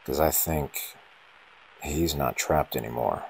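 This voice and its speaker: A man reading a scripted first-person horror story in short, ominous, dramatic phrases, with a gravelly, husky voice. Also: very deep voice, slow, darkish tone, slight background noise, rough recording.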